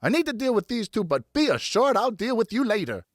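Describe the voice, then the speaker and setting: A man's voice: sits high, big pitch swings, a little fast, no echo, clean studio sound, pitched above the performer's own voice, exaggerated voice. A man voicing a stern, outraged woman in an audiobook, scolding with emphatic, indignant commands. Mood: outraged, commanding. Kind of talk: audiobook character voice.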